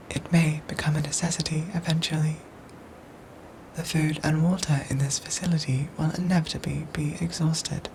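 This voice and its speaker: Actress as caring robot soldier: hushed, breathy whisper, formal measured diction, comforting ASMR audio drama, with noticeable ambient noise behind. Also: female voice, sits low, low-quality recording. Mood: calm, explanatory. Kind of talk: whispered ASMR roleplay acting.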